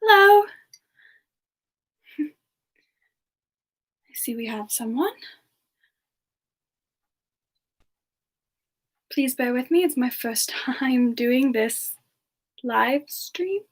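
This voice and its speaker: A gentle young siren demon, played by a woman chats with livestream viewers in a semi-ASMR style. Her voice is fairly high and very unhurried. She is soft-spoken, polite and a little hesitant. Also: animated, full character voice. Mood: shy, welcoming. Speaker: livestream host in character. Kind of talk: semi-ASMR in-character live Q&A chat.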